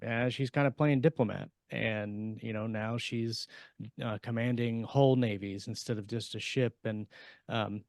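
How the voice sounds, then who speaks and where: Halting man, dry close sound. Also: fairly low pitch, fairly even intonation, darkish tone, no room sound. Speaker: podcast co-host. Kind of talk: casual panel discussion of books. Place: indoor room on a video call.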